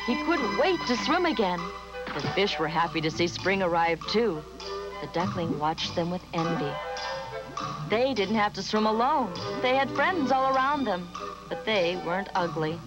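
Lady storyteller doing dramatic kids fairy tale narration, medium pitch, old soundtrack with music. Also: lively intonation, moderate pace, poor audio.

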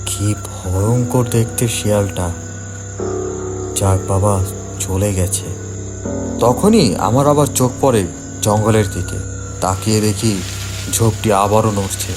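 A man narrating a scripted first-person horror story for an animated cartoon, in a dramatic storytelling manner. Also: lowish voice, measured pace, pauses often, over music.

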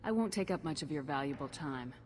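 A woman's voice: deep voice, animated, fast, noisy background. A woman playing a cool, authoritative human military commander in a video game, direct and dry. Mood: placating. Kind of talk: video game character dialogue.

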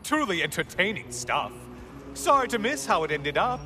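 An actor playing a sardonic, mocking god's talking head in a video game, speaking in a sassy, needling way. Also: male voice, sits high, sing-song, moderate pace. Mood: sneering. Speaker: game character. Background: game music.

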